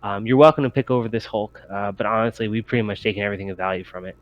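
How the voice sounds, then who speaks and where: A man voicing a casual, cocky young male scavenger in a tabletop game, rough-spoken and matter-of-fact. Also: fairly low pitch, fairly even intonation, rapid-fire, highs cut off, natural voice. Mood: friendly, casual. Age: young adult.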